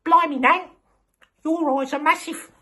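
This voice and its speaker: A man's voice: very high voice, steady tone, a little slow, sharp tone, voice pushed up, full character voice. A man voicing a cheeky little girl in a storybook tale, exclaiming. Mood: shocked. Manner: squealing, exclaiming.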